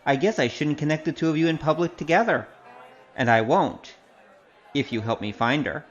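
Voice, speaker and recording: A man's voice: on the high side, moderate pace, close to an everyday voice. A man playing a wry, cocky wandering gambler in an audio drama, sardonic and teasing. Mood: wry, needling. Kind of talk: audio drama acting with spoken self-narration. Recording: dull, slightly noisy audio.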